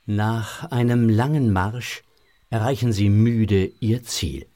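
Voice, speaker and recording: A man narrating a children's audio drama as an expressive, deliberate storyteller. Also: on the low side.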